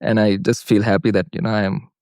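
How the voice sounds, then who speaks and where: Podcast guest, man from Pakistan, low steady voice, earnest storyteller who restarts often, dry room. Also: creaky, dry recording. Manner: halting, frequent restarts and fillers, earnest. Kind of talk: casual conversational storytelling.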